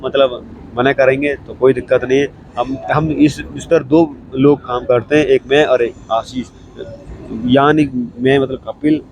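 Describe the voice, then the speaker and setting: Hoarse young guy with a cold vlogging on a train platform, flat voice, clip mic, a bit of traffic noise. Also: medium pitch, poor audio. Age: young adult. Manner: chatty and repetitive, keeps saying okay. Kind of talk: casual vlog talk to camera. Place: railway station platform, outdoors.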